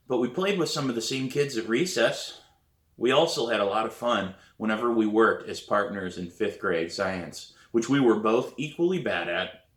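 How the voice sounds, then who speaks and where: A man reading a children's novel aloud in an expressive storybook narration style. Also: fairly low pitch. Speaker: author reading own novel. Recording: roomy echo.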